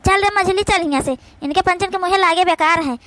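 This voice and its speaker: Woman voicing a very shrill, scolding Awadhi village daughter-in-law. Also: brisk, fairly bright tone. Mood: argumentative. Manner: scolding, argumentative, rustic. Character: squabbling village daughters-in-law, brash, indignant women. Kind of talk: cartoon comedy dialogue.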